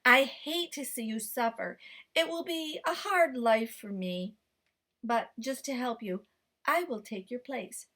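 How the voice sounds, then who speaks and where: Woman doing a slow sneaky fox, smooth and greedy, bright voice. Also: fairly high pitch. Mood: fake kind. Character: sly hungry male fox.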